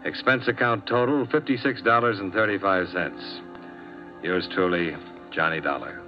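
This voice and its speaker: An American actor playing a weary, wry insurance investigator in a 1950s radio drama, speaking in a terse, dry way. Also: male voice, deep voice, moderate pace, over loud music, close to an everyday voice. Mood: weary, bitter. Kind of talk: 1950s radio drama acting with first-person narration. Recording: dull vintage 1950s radio recording.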